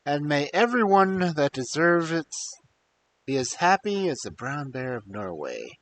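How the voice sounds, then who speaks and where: A man's voice: on the high side, sing-song, unhurried. A man narrating fairy tales expressively as the host of a storytelling stream. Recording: faint background hiss.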